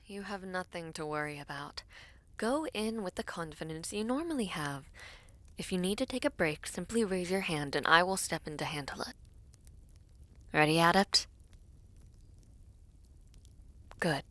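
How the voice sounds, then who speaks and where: Woman acting young princess, low soft voice, composed, earnest, breathy, diplomatic, audio roleplay. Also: animated, moderate pace. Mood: soothing.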